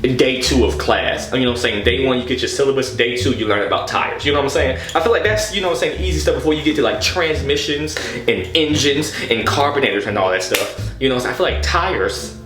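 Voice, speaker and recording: A man telling a story casually and comedically, in an exasperated, animated, slangy way with plenty of swearing. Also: medium pitch, fast, few pauses. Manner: animated, exasperated, profane, slangy with repeated "you know what I'm saying". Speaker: storytelling vlogger. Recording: echoey room with music behind.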